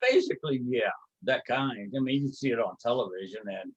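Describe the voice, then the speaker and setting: Older Texan man, unhurried interview storyteller, plenty of pauses, mid-range voice. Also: mid-range voice, lo-fi sound. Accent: Texas. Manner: slow, halting storytelling with many fillers. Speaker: martial arts grandmaster. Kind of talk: reminiscing interview.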